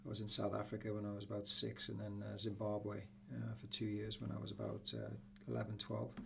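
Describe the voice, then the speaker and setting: Middle-aged man, English accent, even tone, creaky voice, casual podcast guest, coach. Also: fairly low pitch, brisk, noisy background. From Newcastle, England. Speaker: soccer coach, interview guest. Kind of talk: casual reminiscing in a podcast interview. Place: small indoor room with acoustic panels. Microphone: podcast boom microphone.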